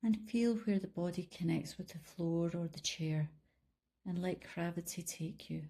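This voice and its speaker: A woman leading a guided body scan meditation in a calm, soothing, unhurried manner, leaving long pauses between instructions. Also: on the low side, breathy edge. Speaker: meditation guide, life coach.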